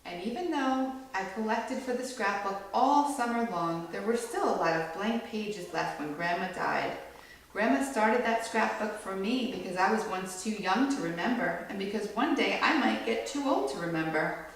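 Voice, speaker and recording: A woman reading a picture book aloud to children as a storyteller, with animated delivery that acts out the quoted lines. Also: medium pitch, normal speed. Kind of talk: expressive read-aloud storytelling. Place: echoey indoor room with children listening. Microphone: far from the reader. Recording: distant mic, very echoey room, faint noise.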